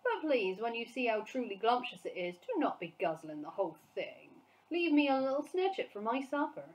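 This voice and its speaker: A woman's voice: fairly high pitch, big pitch swings, normal speed, poor audio. A woman voicing a kind, dignified male giant who speaks earnestly and gets his words muddled. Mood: coaxing. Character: gentle kindly big male giant, dignified.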